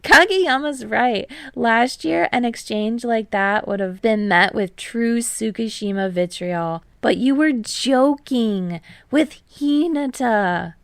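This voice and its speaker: Friendly teasing teen boy, played by a woman narrator: raised pitch, louder, lilting, audiobook read. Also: slow pace, creaky, clean tone, no echo, clean studio sound.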